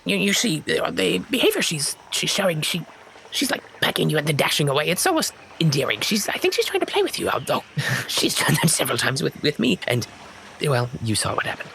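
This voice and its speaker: Man putting on a high, stammering, excitable fellow. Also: a little fast, creaky, a little hiss or hum, heavily acted, poor audio. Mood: fond, animated. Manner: hesitant, stammering.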